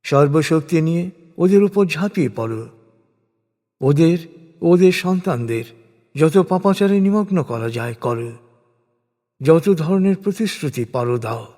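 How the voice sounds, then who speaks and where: A man reading a scripture translation as solemn, scripted religious narration, in a measured, declamatory way. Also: on the high side, a little mellow. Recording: long cavernous reverb.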